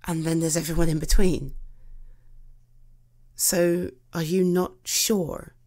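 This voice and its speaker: A woman performs a supportive British friend in an intimate ASMR roleplay. Her voice sits a little low and moves very slowly. She speaks conversationally and haltingly, reassuring with a gentle, teasing touch. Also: fairly even intonation. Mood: supportive, careful.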